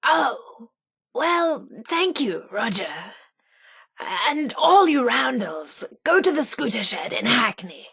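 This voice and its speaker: Casting: chirpy, coy British female radio co-host, vintage patter, breathy and slightly creaky, unhurried game role. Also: sits high, very animated, a bit bright, exaggerated voice. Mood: flustered, delighted.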